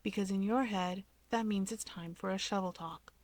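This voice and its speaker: A woman playing a calm, perceptive younger brother in a story, deadpan and measured. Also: medium pitch, no echo, lightly acted.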